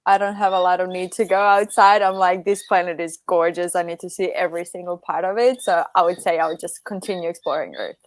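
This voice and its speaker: A woman's voice: fairly low pitch, fairly even intonation, quick pace, hardly any pauses, no room sound. A woman from Croatia, a guest on a podcast, who gives thoughtful answers filled with "like" fillers. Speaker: startup founder guest. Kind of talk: casual interview answers.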